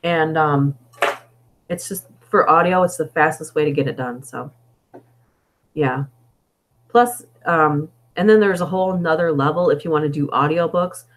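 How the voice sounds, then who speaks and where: A woman with a hoarse, fading voice, chatting casually and meandering on a live stream between story readings, on a budget desktop microphone. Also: low-pitched, normal speed, pauses often, slight background noise. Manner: chatty and meandering, thinking aloud. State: hoarse, losing her voice.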